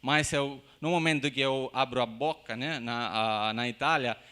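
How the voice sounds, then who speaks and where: A man from Sicily with a Sicilian Italian accent, lecturing in an academic talk. He pauses and restarts often and tags his phrases with questions. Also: medium pitch, bright, forceful tone, clear, resonant tone. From Sicily, Italy. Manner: halting, many "né?" tags and restarts. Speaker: university lecturer. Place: university lecture room.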